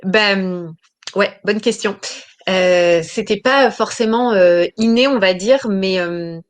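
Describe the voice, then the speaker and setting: A woman in her thirties, a business coach talking about her career in an interview, pausing often with fillers and restarting phrases. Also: mid-range voice. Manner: hesitant, many fillers and restarts. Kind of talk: interview answers.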